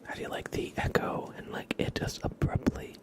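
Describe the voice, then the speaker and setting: A man hosting an ASMR livestream, chatting casually in a soft, gentle, whispered way. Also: normal speed.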